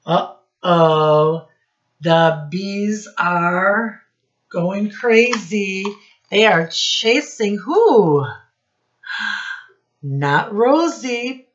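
A middle-aged woman reads a picture book to little children at a very slow pace, in a fairly low voice. She stretches key words and puts questions to her young listeners like a teacher. The sound is a bit dull, from a webcam in a small room. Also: lively intonation. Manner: instructive, prompting listeners with questions, drawn-out emphasis on key words. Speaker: children's storytime teacher and reader.

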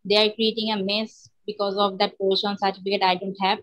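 A woman's voice: medium pitch, level tone, fast, fairly bright tone, dry recording, poor audio. Female student with a dry close sound. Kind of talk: casual talk in online class.

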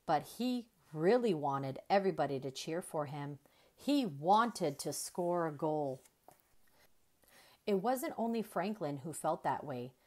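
Slow, highly animated woman reading a storybook. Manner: deliberate, animated storytelling with emphasized dialogue. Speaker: children's storybook reader. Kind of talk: expressive read-aloud narration of a children's story.

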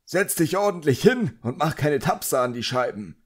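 An irascible, self-important middle-aged magician played by a male narrator in an audiobook. He pitches his voice well above a relaxed narration and barks out bitter, emphatic complaints. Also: moderate pace, husky. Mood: scolding. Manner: barking, emphatic, indignant. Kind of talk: audiobook character dialogue.

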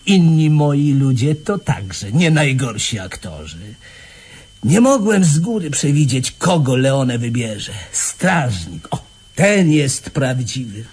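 A man's voice: medium pitch, big pitch swings, slow, darkish tone, slightly rough, exaggerated voice. An actor playing a smug, theatrical police inspector in a radio play, gloating and mock-courteous as he explains.

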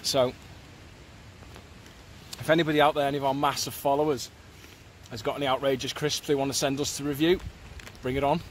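A man's voice: mid-range voice, level tone, fairly quick, pauses often. A British man in his thirties chatting casually to camera as he records a hiking vlog outdoors on a phone. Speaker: hiking vlogger. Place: outdoors on woodland and open windy fells. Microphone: phone at arm's length. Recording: phone audio with loud wind noise. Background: wind buffeting the mic.

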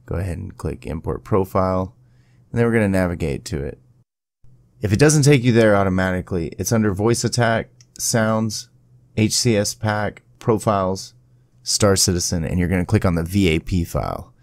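A man presenting a casual step-by-step software tutorial in an informal, instructional way. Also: low-pitched, normal speed, dry recording, clean studio sound.

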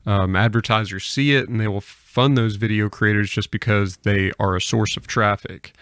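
Guy podcast host, flat lowish voice, measured questions, dry sound. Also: dry recording. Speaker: podcast interviewer. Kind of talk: casual interview questioning.

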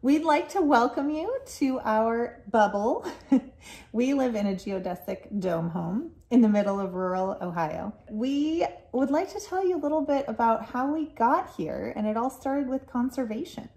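A woman's voice: on the high side, lively intonation, moderate pace, mellow. A woman in her thirties from the United States, with an American accent, introducing herself to camera as a guest. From Colorado, United States. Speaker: guest, photojournalist. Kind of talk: friendly self-introduction to camera. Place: indoor living space at home.

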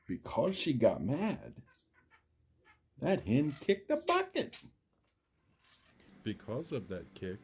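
A man with a mellow, lowish voice reads a picture book to young children. His delivery is theatrical and hugely varied in pitch, with stretched words and dramatic pauses. He talks to the children directly, as a schoolteacher would. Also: husky. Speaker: storyteller, reader to young children. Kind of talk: animated children's picture book read-aloud.

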